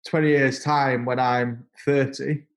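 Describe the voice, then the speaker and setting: Halting man in his thirties. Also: medium pitch, little pitch movement. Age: 30s. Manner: halting, repeats words and restarts often. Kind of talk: casual interview conversation.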